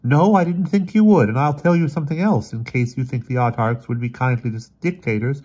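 A man's voice: medium pitch, a little fast, darkish tone, husky, voice pushed up, lightly acted. A man voicing a weary, grave older brother who speaks with theatrical, exasperated emphasis, as in an audiobook. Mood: snapping, insistent.

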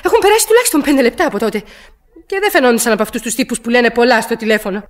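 A woman's voice: on the high side, animated, natural voice. An actress in a radio play playing an anxious, demanding woman on the telephone, agitated, stammering and insistent. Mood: urgent, indignant. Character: anxious, demanding woman caller, panicky and imperious.

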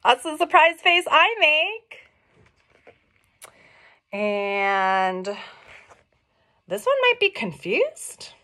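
A middle-aged woman reading a picture book aloud to young children, expressive and questioning, explaining things simply as she goes. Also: high voice, extremely slow, long pauses. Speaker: read-along storyteller for young children. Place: small room at home.

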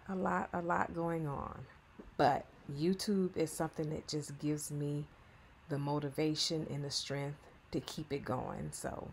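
A woman's voice: low-pitched, a little hiss or hum. A woman in her fifties narrating a cleaning vlog in a chatty, affectionate voice-over, often calling her viewers y'all. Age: 50s. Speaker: cleaning vlogger.

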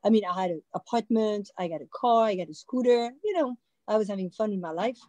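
A woman in her forties with a French accent speaks from a home setup through a laptop microphone. She talks casually, circling back with fillers and restarts, at an ordinary pitch for a woman. The sound is dry, with no room around it. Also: expressive, fast, warm tone, no room sound. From France. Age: 40s. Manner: loose and conversational, with frequent fillers and restarts. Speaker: panel guest, visual artist. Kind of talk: casual personal storytelling on a video call. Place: indoor room with a warm-lit backdrop. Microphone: laptop or phone mic on a video call.

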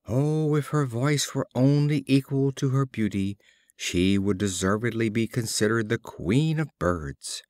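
A man voicing a wily, flattering, smug fox in a storybook, with honeyed, theatrical phrasing. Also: medium pitch, unhurried, no echo, clean studio sound, close to an everyday voice.

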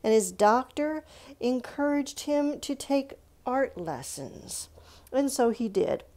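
A woman presenting an art lesson for children, explaining in short halting phrases and asking the young listeners simple questions. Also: sits high, expressive, dark tone.

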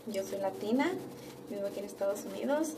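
A young woman from Mexico with a Mexican accent, chatting casually and a little haltingly to camera in her living room. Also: mid-range voice. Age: young adult. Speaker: vlogger. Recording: distant mic, noisy room ambience.

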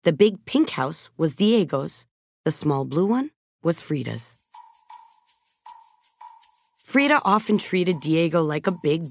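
Low-voiced woman reading a gentle story. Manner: gentle, measured storytelling with soft dips in volume. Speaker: audiobook narrator. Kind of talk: scripted storybook narration for children. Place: recording studio. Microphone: close studio microphone. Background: background music.

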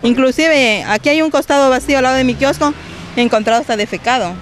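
A woman's voice: sits high, fast talker, a little hiss or hum. A woman who sells juice at a stall, answering a street interview in an exasperated, complaining way.

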